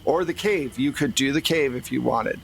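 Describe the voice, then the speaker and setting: A man's voice: medium pitch, normal speed, creaky, a little hiss or hum, low-quality recording. A man speaking as game master, narrating a tabletop game in a casual way.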